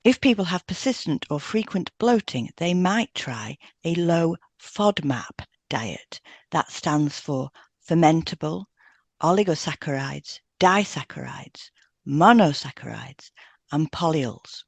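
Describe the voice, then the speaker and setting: A woman co-hosting a health podcast, reading scripted material in a measured, explanatory way and carefully sounding out technical terms. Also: sits low, lively intonation, breathy edge, dry recording. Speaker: podcast co-host and hypnotherapist. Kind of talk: scripted educational narration.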